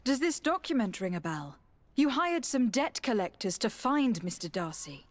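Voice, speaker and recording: A woman's voice: a little high, very animated, normal speed, no room sound. A woman playing a composed, articulate, authoritative noble diplomat in a video game. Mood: firm.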